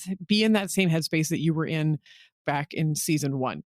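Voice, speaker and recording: A woman's voice: low voice, animated, moderate pace, dry recording. Casual woman writer, dry close sound. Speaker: audio drama writer and creator. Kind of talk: casual chat.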